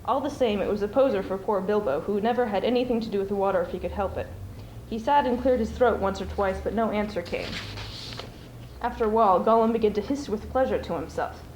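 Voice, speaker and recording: A woman's voice: mid-range voice, fast, a little mellow. A young woman, a student performer, giving a dramatic reading of a storybook. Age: teens or young adult. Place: school speech showcase before an audience. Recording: roomy, noisy amateur stage recording.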